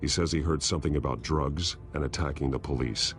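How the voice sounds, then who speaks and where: A man playing a brooding, protective masked vigilante in a video game, speaking gravely and tersely in a gravelly growl. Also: rumbling low, fairly quick. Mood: grim, serious. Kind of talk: dramatic video game acting. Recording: loud music under the voice.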